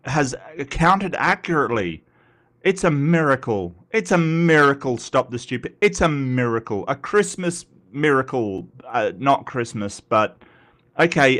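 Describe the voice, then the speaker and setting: A man's voice: mid-range voice, fairly bright tone. An Australian man hosting a live stream, nasal and congested from a cold, rambling and joking with frequent stammered restarts. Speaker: host of a live atheist commentary stream. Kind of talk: casual live-stream chat.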